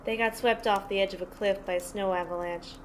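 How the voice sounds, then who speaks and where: A woman's voice: mid-range voice, steady tone, brisk, close to an everyday voice. A woman playing a tough, protective sister who mothers her brothers, explaining things matter-of-factly in an amateur audio play. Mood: subdued. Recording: noisy amateur home recording.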